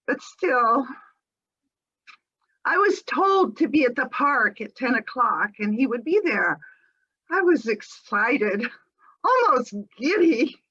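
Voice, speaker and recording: An actress performing a dramatic monologue as a lovesick woman, earnest and breathless, with theatrical pauses. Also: sits high, fairly bright tone, no echo, lo-fi sound, full character voice. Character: lovesick woman nervously reunited with her beloved dog.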